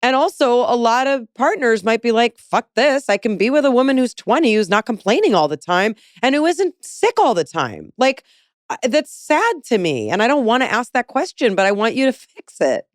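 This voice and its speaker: A woman's voice: fairly high pitch, sing-song, normal speed, dry recording, clean studio sound. A woman in her forties hosting an interview, talking candidly and rambling with many fillers. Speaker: podcast host. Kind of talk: casual interview chat.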